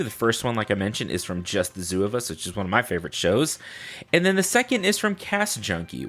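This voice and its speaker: Man, podcast host, deep-ish voice, very lively intonation, playful casual chat, music behind. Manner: informal, playful, chatty. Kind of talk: casual solo podcast talk.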